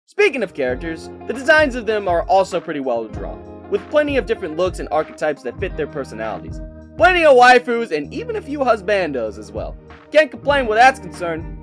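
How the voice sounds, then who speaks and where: A man giving a casual, scripted game review with an enthusiastic, playful and expressive delivery. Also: sits high, fairly quick, soft background music.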